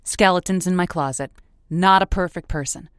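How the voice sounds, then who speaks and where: A woman's voice: lowish voice, moderate pace, clean tone, no echo, clean studio sound, close to an everyday voice. A woman playing an upbeat, encouraging matchmaking host on a radio comedy, chatty and playful with callers.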